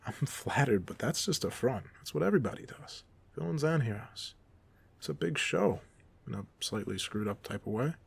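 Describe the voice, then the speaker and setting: A man playing a goofy, soft-hearted supervillain off duty in an audio roleplay, talking casually with teasing, self-deprecating humor. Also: medium pitch, expressive, normal speed, creaky, husky. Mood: exasperated, frank. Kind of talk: ASMR roleplay monologue, casual conversational acting.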